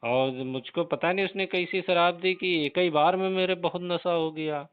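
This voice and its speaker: Man playing a plaintive henna artist, higher-pitched voice. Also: normal speed, clear, resonant tone, lightly acted. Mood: confiding. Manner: plaintive, reluctant. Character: hesitant, complaining male henna artist on a call. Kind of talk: casual phone-call role-play. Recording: dull, lo-fi phone-call audio.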